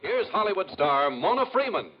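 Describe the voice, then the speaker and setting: A man's voice: high-pitched, big pitch swings, normal speed. A man with an American accent announcing and reading advertisements in a 1950s radio style. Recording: muffled vintage radio with music.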